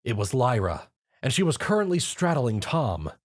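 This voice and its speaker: A man narrates a scripted story. His voice has a medium pitch. His delivery is animated, with plenty of rise and fall. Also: normal speed, no room sound, studio quality.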